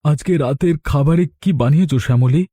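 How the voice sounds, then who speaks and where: An actor voicing a good-natured village man in a cartoon, in an expressive, husky voice. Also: fairly high pitch, a little fast. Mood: mild, earnest. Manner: animated, expressive. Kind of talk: Bengali cartoon voice acting.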